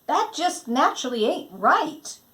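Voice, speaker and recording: A woman's voice: sits high, very animated, measured pace, a bit bright, pitched above the performer's own voice, heavily acted. A woman voicing a blunt, doubting country boy in a storybook, with a folksy, indignant manner.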